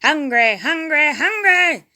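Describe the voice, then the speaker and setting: A woman's voice: sits high, animated, takes it slowly, sharp tone, voice pushed up, full character voice. A woman voicing a huge, ravenous male monster outlaw who bellows blunt, hungry demands in a children's story.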